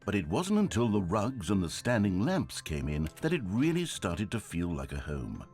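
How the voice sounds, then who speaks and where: An actor playing a wry, theatrical storybook narrator in a video game. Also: male voice, on the low side, wildly swooping pitch, normal speed, a little mellow, soft background music. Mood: wry, dramatic. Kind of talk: scripted narration.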